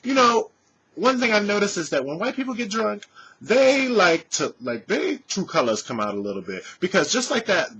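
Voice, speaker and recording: A man in his thirties, a vlogger, telling a casual story to his webcam in a small room at home, animated and swearing freely. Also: high-pitched, moderate pace, husky, highs cut off. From California. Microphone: webcam at desk distance.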